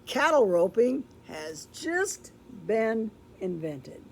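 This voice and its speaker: An older woman reading a children's story aloud in a deliberate, expressive way, with explanatory asides. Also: fairly high pitch, a little hiss or hum. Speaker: storybook reader. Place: indoor room with books, like a classroom or library.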